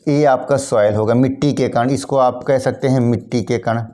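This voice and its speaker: Hoarse man teaching biology a cold. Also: medium pitch, fairly even intonation, normal speed, mellow. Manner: repetitive, addresses students as kids, frequent throat clearing. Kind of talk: classroom lesson addressing students.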